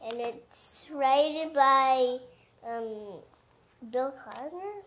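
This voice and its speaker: A woman's voice: high-pitched, extremely slow, a bit bright. A woman hosting a casual, interactive story time for children, chatting hesitantly as she reacts to the book. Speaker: children's story read-aloud host.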